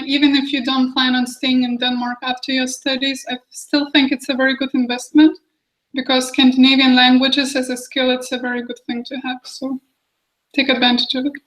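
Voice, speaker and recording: High-pitched young woman, even-toned. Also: rough recording. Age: 20s. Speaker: international student speaker on a university webinar panel. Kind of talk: casual panel talk. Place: small office meeting room.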